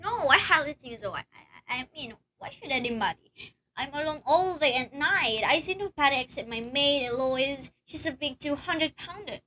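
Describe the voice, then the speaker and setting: A woman's voice: high voice, animated, moderate pace, bright, forceful tone, slight background noise, low-quality recording. A woman playing a nervous, demanding invalid wife in an amateur radio play, stammering and insistent. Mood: uneasy, pleading.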